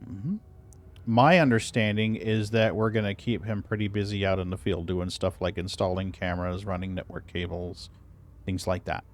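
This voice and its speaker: Relaxed man chatting while gaming. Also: sits low, normal speed, a little hiss or hum. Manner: relaxed, talks to himself, hums idly. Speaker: streamer. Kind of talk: casual chat while gaming.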